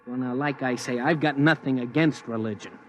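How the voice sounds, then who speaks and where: A man's voice: medium pitch, fairly quick, a bit bright, a little hiss or hum, lightly acted, poor audio. An actor playing a blunt, streetwise man in a 1950s radio drama, talking in a folksy, dismissive way. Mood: skeptical. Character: blunt streetwise middle-aged everyman, skeptical.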